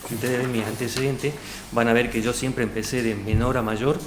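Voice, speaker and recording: A man's voice: mid-range voice, steady tone, a little fast. A man in his thirties from Salta, Argentina, answering a formal interview panel, hesitant, with many fillers and restarts. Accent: Argentine Spanish, Salta. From Argentina, Salta province. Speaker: job candidate. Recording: echoey room, faint noise.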